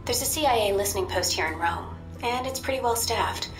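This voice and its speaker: An actress playing a composed, wry intelligence handler in a video game, with a measured, matter-of-fact manner. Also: female voice, a little high, lively intonation, lightly acted. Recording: roomy sound with music behind.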